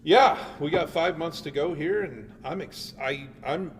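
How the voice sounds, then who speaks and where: A man's voice: fairly high pitch, measured pace. Male choir teacher co-host; teasing, casual; distant mic, roomy reverb, noticeable hiss. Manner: teasing, with many fillers and restarts. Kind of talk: casual chat. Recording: echoey room from a distance with background noise.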